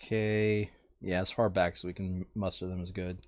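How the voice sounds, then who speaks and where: A man's voice: low-pitched, little pitch movement, moderate pace, lo-fi sound. A man playing a board game casually, reading out rules and thinking aloud as he narrates the moves. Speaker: board game player on a playthrough show. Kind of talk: casual tabletop game play commentary.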